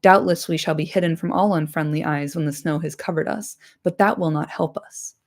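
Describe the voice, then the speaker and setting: A woman's voice: sits low, fairly even intonation, brisk. A woman voicing a bold, practical male warrior who speaks bluntly and urgently in a storybook reading. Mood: grim, practical.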